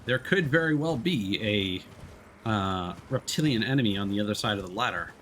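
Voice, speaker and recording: A man's voice: fairly low pitch, animated, moderate pace, a bit bright, slight background noise. A man giving casual, off-the-cuff live commentary as he streams a video game.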